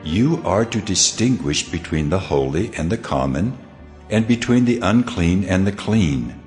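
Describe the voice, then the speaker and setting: An actor playing a majestic divine lawgiver in a dramatized scripture reading, grave and commanding. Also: male voice, deep voice, lively intonation, unhurried, darkish tone. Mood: solemn, commanding. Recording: long cavernous reverb, music behind.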